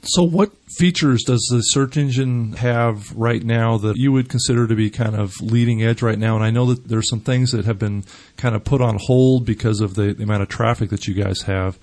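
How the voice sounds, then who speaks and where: A man hosting a radio talk show, his voice at an ordinary middle pitch. He asks long, winding questions and piles one onto another. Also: moderate pace, hardly any pauses, a little mellow. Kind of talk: radio interview.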